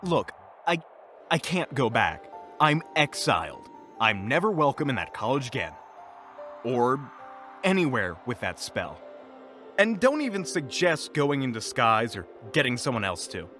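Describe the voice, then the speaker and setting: Audio drama wizard, played by a man: hapless exile, defensive, animated pitch, bright edge, music underneath. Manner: halting, defensive, flustered. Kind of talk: audio roleplay drama acting.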